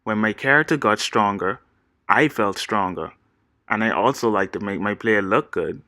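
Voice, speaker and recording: Young guy reading his pitch, crisp bright voice, dry close sound, earnest and steady. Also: moderate pace, dry recording. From Bahamas. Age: 20s. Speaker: game designer pitching himself. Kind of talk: read-aloud scripted presentation.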